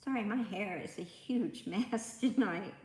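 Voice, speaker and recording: A woman in her fifties hosting a casual livestream, chatting and rambling as she greets her viewers by name. Her voice is soft and a little raspy, and she talks into a tablet microphone. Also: medium pitch, a little slow. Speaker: livestream host. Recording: echoey room.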